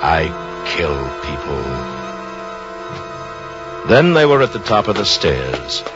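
A man narrating an old-time radio suspense story in a grave, measured, literary way. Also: sits low, sing-song, exaggerated voice. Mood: ominous. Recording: dull vintage radio recording with music.

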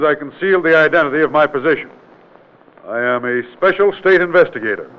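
An actor playing a brisk, authoritative state investigator with a formal, commanding manner in an old radio drama. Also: male voice, on the high side, lightly acted. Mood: firm, authoritative. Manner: formal, commanding interrogation with clipped questions. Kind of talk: 1940s-50s radio drama acting. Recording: tinny, noisy old radio recording.

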